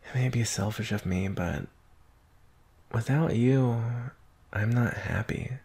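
A young man playing an anxious, devoted werewolf in an intimate ASMR romantic roleplay, speaking hesitantly in a husky, breathy voice. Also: medium pitch, takes it slowly, a little mellow, exaggerated voice. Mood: pleading, vulnerable. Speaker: roleplay voice actor.

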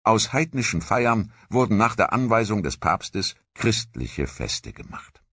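Man, documentary narrator, measured storytelling, very low voice, dry studio sound. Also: sing-song, fairly bright tone, slightly rough, no room sound.

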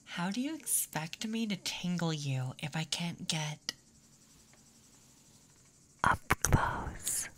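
Slow, teasing tomboy played by a woman. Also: fairly low pitch, lively intonation. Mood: flirty, bossy. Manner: teasing, drawling, slipping into whispers. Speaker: ASMR roleplay performer. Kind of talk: ASMR roleplay, intimate second-person acting.

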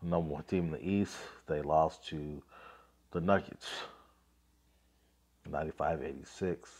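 Deep male voice, thirties, getting over a cold, casual basketball recap host, phone mic outdoors. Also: measured pace, darkish tone. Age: 30s. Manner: offhand, lots of fillers, reads scores aloud. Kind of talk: casual sports recap and rant. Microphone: phone, selfie framing.

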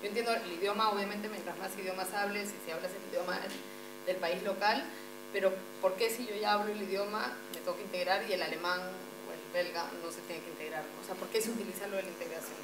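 A woman's voice: medium pitch, steady tone, fast talker. A woman from Peru asking a debate panel a challenging question, thinking aloud as she goes. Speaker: audience member asking a question. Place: meeting room. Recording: echoey room with mains hum.

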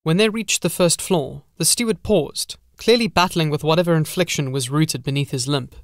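Higher-voiced man narrating gothic horror. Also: moderate pace, dry recording, studio quality. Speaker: audiobook narrator.

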